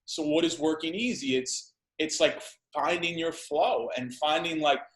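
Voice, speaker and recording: A man's voice: a little high, unhurried, fairly bright tone. A man in his thirties, a guest in a casual podcast talk, speaking emphatically, repeating phrases and swearing freely. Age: 30s. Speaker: guest entrepreneur. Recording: echoey room.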